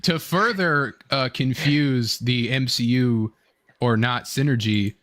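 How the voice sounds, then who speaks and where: A young adult man with an average-pitched voice hosts a casual online fan show. He rambles through his commentary, starting and restarting phrases and pausing often as he works out his point. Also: fairly bright tone. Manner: rambling, halting, fragmented phrasing.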